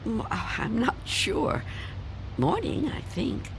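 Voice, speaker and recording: An actress playing an anxious, secretive, reclusive spinster in a radio drama, halting and fretful. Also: female voice, a little high, big pitch swings, creaky, slight background noise, full character voice, rough recording. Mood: evasive.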